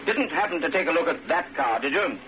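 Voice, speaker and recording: A man's voice: on the high side, lively intonation, a little fast, lightly acted. An actor playing a brusque, sardonic male intelligence chief with a dry manner in a radio spy comedy-drama. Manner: dry, sarcastic. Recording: noisy radio drama recording.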